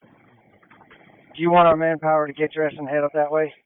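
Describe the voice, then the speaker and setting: Fairly high male voice, dull radio. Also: little pitch movement, moderate pace, clean tone, no room sound. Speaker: rescue crew member. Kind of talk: brief emergency radio calls. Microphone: two-way radio. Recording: dull two-way radio with clicks and noise.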